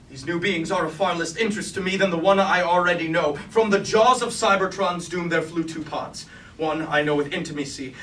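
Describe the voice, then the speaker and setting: Casting: male actor for a loyal robot soldier, high voice, theatrical stage verse, recorded from a distance in a roomy space. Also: a bit bright, natural voice. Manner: theatrical, declamatory, archaic formal diction. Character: loyal robot warrior lieutenant, proud, battle-weary, devoted to his king. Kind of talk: staged reading of mock-classical verse tragedy. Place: indoor room at a staged reading. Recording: roomy, distant mic, faint noise.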